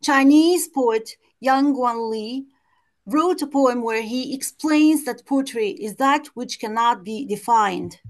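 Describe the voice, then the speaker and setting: A woman from Morocco, reading a prepared academic paper at a conference over a video call in a careful, measured way with occasional hesitant restarts. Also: fairly high pitch, poor audio. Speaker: conference presenter. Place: home or office on a video call.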